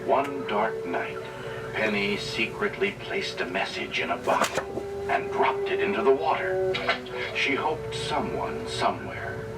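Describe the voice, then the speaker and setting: A man narrating a children's read-along record in a dramatic, deliberate storytelling manner. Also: medium pitch, wildly swooping pitch. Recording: distant vinyl record played in room, music.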